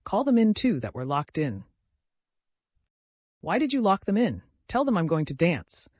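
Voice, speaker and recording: A man's voice: high voice, huge pitch swings, normal speed, a little mellow, no room sound, voice pushed up, heavily acted. A giddy young woman, drunk on champagne and babbling in a raised, swooping voice, as a male audiobook reader puts her on. The sound is close and dry, with no room around it. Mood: tipsy pleading. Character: tipsy, passionate young woman, excitable.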